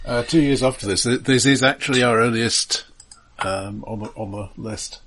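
A man's voice: medium pitch, normal speed, fairly bright tone, a little hiss or hum. A man co-hosting a podcast in casual discussion, often qualifying and correcting what he says mid-sentence. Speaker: podcast co-host.

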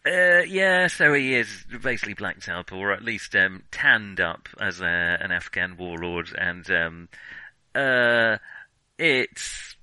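Male podcast co-host, very bright, sharp voice, dry close sound, chatting casually and hesitantly about films. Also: on the low side, lively intonation, takes it slowly, clear, resonant tone, dry recording, low-quality recording. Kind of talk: casual film discussion.

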